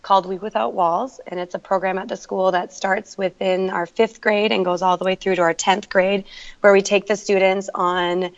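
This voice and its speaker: A woman's voice: mid-range voice, little pitch movement, moderate pace. A woman working as a teacher, telling her story earnestly in a podcast interview. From Canada. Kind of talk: conversational interview. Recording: dull lo-fi remote audio.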